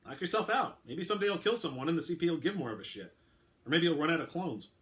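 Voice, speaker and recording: A man's voice: a little high, expressive, quick pace, a bit bright, voice pushed up. A man voicing a brusque, dismissive and exasperated male official in a dramatic crime story reading. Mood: flippant. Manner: emphatic, exasperated. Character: brusque male prosecution liaison, dismissive and emphatic.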